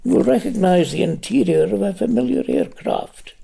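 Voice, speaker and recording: A man's voice: high voice, wildly swooping pitch, creaky, dark tone, slightly rough, heavily acted. An old man voicing a sly scheming chairman. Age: older. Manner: slow, theatrical read-aloud delivery. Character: scheming smooth older male chairman. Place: at home.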